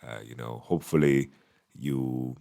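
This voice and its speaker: Super deep guy, voice going a bit hoarse, talking into a mic in a hotel room, lots of uhs. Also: fairly even intonation, a little slow, mellow. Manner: casual, many fillers, thinking aloud. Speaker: esports analyst. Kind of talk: solo recap commentary. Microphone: studio microphone.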